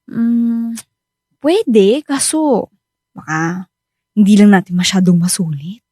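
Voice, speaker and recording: A woman's voice: mid-range voice, slow, darkish tone, dry recording. A woman acting a protective, stubborn young wife and mother with a Filipino accent in a radio drama. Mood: hesitant. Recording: studio drama over background music.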